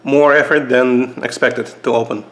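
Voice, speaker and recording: A man with a Russian accent casually reviewing knives at a table for his channel, halting with many fillers and restarts. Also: mid-range voice, fairly even intonation, fairly bright tone, a little hiss or hum, rough recording. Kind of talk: casual knife review vlog. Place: indoors at a table.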